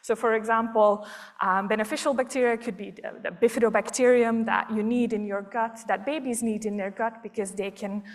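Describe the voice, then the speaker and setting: A woman's voice: a little high, steady tone, brisk. A woman in her thirties from Belgium giving a public science talk, explaining things step by step with frequent ums.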